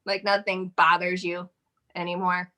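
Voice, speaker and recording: A woman's voice: lowish voice, little pitch movement, unhurried, sharp tone. A woman in her forties, guest in a casual interview, talking in a chatty, rambling way with frequent laughs. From Michigan. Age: 40s. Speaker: interview guest, modeling agency owner.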